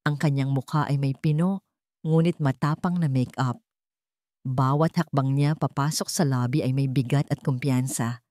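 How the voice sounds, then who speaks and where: Low-voiced woman narrating a drama story. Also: steady tone, normal speed, warm tone, no room sound, studio quality.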